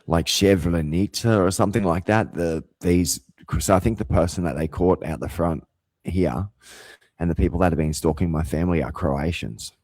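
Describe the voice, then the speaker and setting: A man's voice: low-pitched, normal speed, a little mellow, no echo. A man delivering a rambling, profane rant as a vlogger. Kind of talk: rambling monologue.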